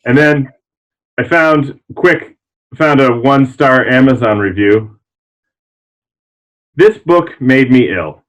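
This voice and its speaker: A man's voice: mid-range voice, slow pace, pauses often, fairly bright tone. A man who works as a librarian, reading aloud and chatting informally on a panel. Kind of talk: reading reviews aloud and casual chat. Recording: dull, muffled lo-fi sound.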